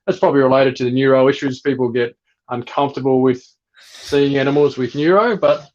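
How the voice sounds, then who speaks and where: A man's voice: mid-range voice, steady tone, fairly quick. Male breeder guest, mid-range voice, dull lo-fi recording, easygoing explanatory chat. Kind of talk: casual chat. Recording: dull lo-fi sound.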